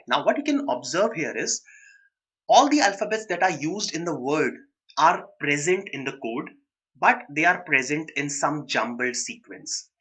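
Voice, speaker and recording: Young Indian male tutor, bright and slightly high voice, live online lecture, drops into rapid Hindi asides. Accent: Indian English. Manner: mixes English with quick Hindi asides, addresses students by name. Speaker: online exam-prep teacher.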